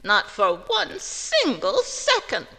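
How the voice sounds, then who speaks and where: Woman acting a sharp-voiced, indignant gossipy neighbor. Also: sits high, huge pitch swings, slow pace, long reverb tail, full character voice. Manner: emphatic, indignant, folksy. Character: indignant gossipy small-town neighbor woman on the witness stand. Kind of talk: old-time radio drama acting.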